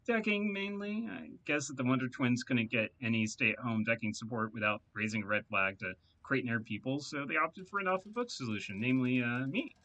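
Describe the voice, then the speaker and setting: A man's voice: medium pitch, animated, fairly quick, few pauses, pushed and bright. A man voicing a rambling, talkative male hacker who boasts and amuses himself in a video game read-aloud. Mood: chatty.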